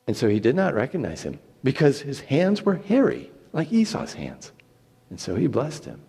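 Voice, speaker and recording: Gravelly man reading scripture in a hall. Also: medium pitch, huge pitch swings, normal speed, dark tone. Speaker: scripture reader, narrator. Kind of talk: dramatic Bible reading. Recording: reverberant church hall.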